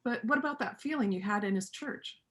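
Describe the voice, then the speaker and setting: A woman performing a reader's theater part as a gentle but firm pacifist country vet, earnest and plain-spoken. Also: a little high, brisk, natural voice. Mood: reflective. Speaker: amateur church play performer.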